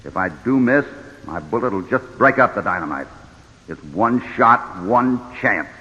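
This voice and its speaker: An actor playing a steady, resolute American cowboy hero in a vintage radio western, speaking with firm, deliberate authority. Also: male voice, lowish voice. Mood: grave, calculating. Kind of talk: 1940s-50s radio western acting. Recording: old radio recording, reverberant and muffled.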